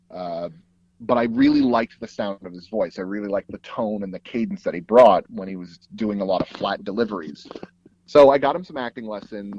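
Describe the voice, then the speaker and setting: A man telling anecdotes casually as an interview guest, animated and acting out bits of dialogue as he goes. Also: fairly low pitch, no room sound, lo-fi sound. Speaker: guest, voice actor and audio drama producer.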